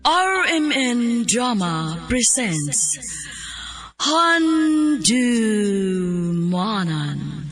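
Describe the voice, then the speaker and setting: Cebuano woman, radio announcer, higher-set voice, scripted introduction, prominent music behind. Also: big pitch swings, drawn-out words, fairly bright tone. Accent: Cebuano Filipino.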